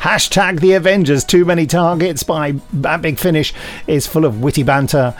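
A man's voice: on the high side, fairly even intonation, moderate pace, faint music behind. A middle-aged man co-hosting a podcast with casual, bantering talk. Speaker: podcast co-host.

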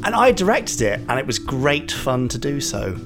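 A man's voice: medium pitch, sing-song, normal speed, music in the background. A man co-hosting a podcast, chatting casually with dry, self-deprecating humour. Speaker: podcast co-host. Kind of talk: casual studio chat.

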